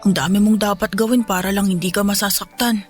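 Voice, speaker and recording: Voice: medium pitch, steady tone, fast, faint music behind, natural voice. A performer voicing a poor young boy, an anxious and devoted son, in Filipino radio drama style, with pleading, respectful delivery. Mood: worried, tender. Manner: pleading, respectful toward his father.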